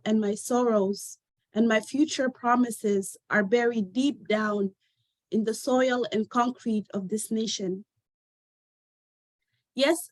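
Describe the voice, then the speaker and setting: A woman whose first language is Arabic recites her own poetry in a measured, heartfelt cadence. Her voice sits a little high and sounds close and dry, as on a video call. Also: dry recording. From Sudan. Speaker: poet guest. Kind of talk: reading her own poem aloud.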